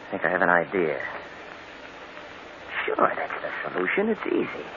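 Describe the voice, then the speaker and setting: A domineering, scheming villain in radio melodrama. His pushy, impatient lines swing widely in pitch. The old broadcast is thin and clearly noisy. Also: huge pitch swings, moderate pace, lo-fi sound. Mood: scheming, insistent. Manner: pushy, forceful phrasing.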